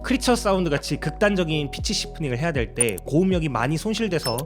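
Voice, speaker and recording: A man's voice: a little high, animated, fast, music in the background. A man narrating a tutorial as a sound designer, explaining his process in a polite way.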